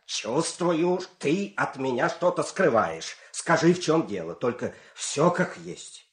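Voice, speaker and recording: Male, folk-tale teller and country husband for audio drama: expressive, theatrical, very lively intonation. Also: fairly high pitch, takes it slowly. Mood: coaxing. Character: storyteller and coaxing, secretive farmer husband of a folk tale. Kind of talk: expressive audio play acting and narration.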